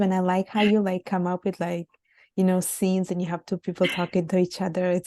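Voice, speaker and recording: A woman with a mellow, warm tone hosts a casual interview. She speaks in a friendly way, often saying "like". Her voice is dry and close, with no room echo. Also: fairly low pitch. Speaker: interviewer, channel host.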